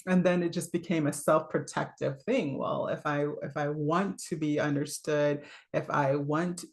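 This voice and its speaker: A woman coach with a low voice, giving a casual, chatty talk full of fillers, little laughs and "right?". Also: a little slow. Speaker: voice and leadership coach.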